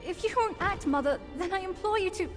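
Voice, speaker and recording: A woman's voice: very high-pitched, fairly quick, faint music behind. A woman voicing an earnest young fox-demon daughter in a game cutscene, with an emotional, pleading delivery. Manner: emotional, imploring. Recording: long hall-like reverb.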